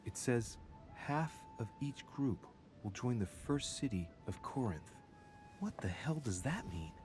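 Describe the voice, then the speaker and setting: An actor playing a brooding young man in a video game, investigating clues and deliberately musing aloud to himself. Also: very animated, a little mellow, soft background music. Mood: grave, pondering. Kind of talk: dramatic video game acting, reading aloud. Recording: low rumbling background noise.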